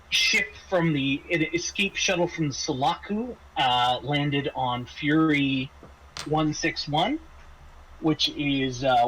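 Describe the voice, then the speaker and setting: Slow, halting man as game master. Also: medium pitch, a bit bright, slightly rough, poor audio. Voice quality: halting delivery with frequent hesitations and restarts. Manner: casual, explanatory. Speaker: game master of a tabletop roleplaying session. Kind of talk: semi-improvised briefing to players, narrating a scenario.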